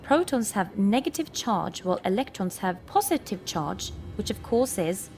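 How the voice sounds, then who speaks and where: Female computer encyclopedia, medium pitch, expressive intonation, articulate, instructive, scripted game narration, music behind. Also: normal speed. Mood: informative, measured.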